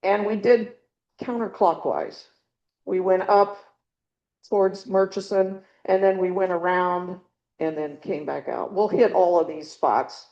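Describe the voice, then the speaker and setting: A woman's voice: on the low side, fairly even intonation, unhurried, muffled recording. A middle-aged woman giving a casual slide presentation to a club, rambling with frequent ums and restarts. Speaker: presenter at a birding club talk. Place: meeting room with a small audience. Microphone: handheld or clip mic with a dying battery.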